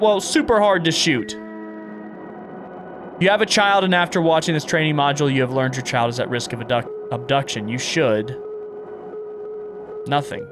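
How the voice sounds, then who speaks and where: A man doing casual video game playthrough commentary, reading prompts aloud and reacting as he thinks out loud. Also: medium pitch. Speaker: gaming content creator and streamer.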